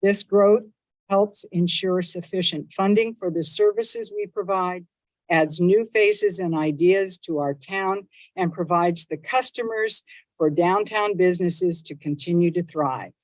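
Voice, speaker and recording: A woman's voice: lowish voice, no room sound, low-quality recording. Upbeat woman giving a civic address. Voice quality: loud voice. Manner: measured, upbeat, stresses lists of items. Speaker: mayor giving a state of the city address. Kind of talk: prepared civic speech. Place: city council chamber. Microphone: council meeting microphone.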